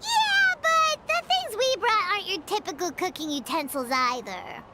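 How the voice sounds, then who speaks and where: A woman voicing a tiny, excitable, childlike fairy companion in an anime game, with a squeaky, bubbly delivery. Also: moderate pace, very noisy. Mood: excited. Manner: bubbly, emphatic. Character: tiny chirpy fairy companion, excitable, speaks in third person.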